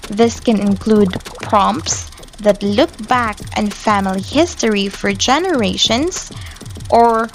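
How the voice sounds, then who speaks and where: A woman's voice: medium pitch, slow, faint music behind. A woman reading scripted educational narration about points of advice, as a presenter.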